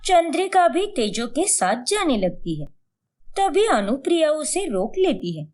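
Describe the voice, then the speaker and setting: Casting: female narrator for cartoon moral tales, slightly high voice, unhurried pacing, highly animated intonation.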